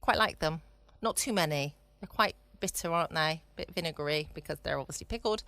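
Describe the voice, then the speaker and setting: A woman's voice: deep voice, a little fast, a bit bright, dry recording. A woman hosting a bedtime podcast in a chatty, rambling, understated way. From United Kingdom. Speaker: podcast host. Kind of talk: casual bedtime chat. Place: at home, close and dry.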